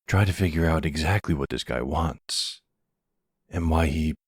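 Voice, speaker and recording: A man acts out a silver-fox mafia boss in an intimate ASMR roleplay. His voice is extremely low and husky, and he takes his time over each soothing phrase. He is tender and protective, heard close and dry. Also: dry recording, exaggerated voice. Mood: calm, resolute. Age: middle-aged. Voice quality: gravelly, husky, breathy. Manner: soothing, unhurried, full of pet names.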